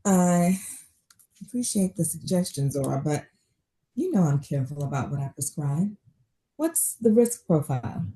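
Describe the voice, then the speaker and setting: A woman's voice: lowish voice, expressive, normal speed, long pauses, dark tone, a little hiss or hum, lightly acted. A woman playing a stressed, wary, sardonic doctor in a naturalistic table read of a drama script. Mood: guarded.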